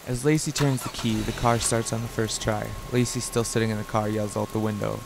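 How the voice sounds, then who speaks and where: A man's voice: fairly low pitch, steady tone, brisk, creaky, over music. A man reading a scripted radio play as narrator in an amateur way, with noisy sound effects behind him.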